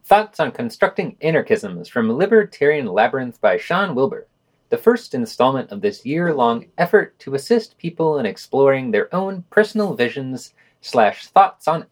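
A man hosting a podcast, reading scripted news items and excerpts with brief wry commentary. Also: mid-range voice.

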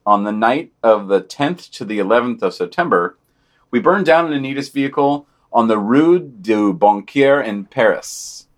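A man's voice: mid-range voice, lively intonation, moderate pace. A man hosting a podcast, reading a scripted news digest and long quotations aloud. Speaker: podcast host.

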